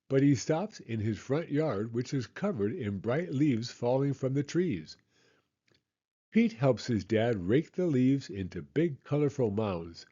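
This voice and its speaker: A man reading a children's picture book aloud with expressive, measured storytelling. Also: mid-range voice, slightly rough, dull audio.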